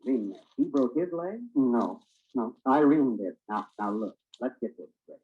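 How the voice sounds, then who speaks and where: An actor playing a folksy newspaper editor bantering with a reporter in 1940s radio drama style. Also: male voice, mid-range voice, expressive, moderate pace, slightly rough. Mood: wry, reminiscing. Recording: muffled old radio broadcast recording.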